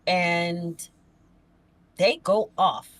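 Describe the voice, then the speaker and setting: A woman's voice: fairly low pitch, fairly even intonation, very slow, a bit bright, no echo. A woman chatting casually as a podcast co-host and emergency dispatcher, with a folksy, emphatic manner that repeats phrases for effect.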